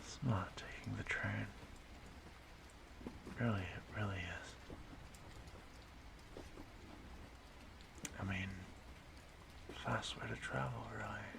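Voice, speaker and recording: A man playing an ageless stalker in an audio roleplay, speaking to his prey in a hushed, halting, softly menacing way, often breathy and whispering. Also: lowish voice, noisy background, full character voice. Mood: sly, taunting. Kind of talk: audio roleplay monologue addressed to the listener.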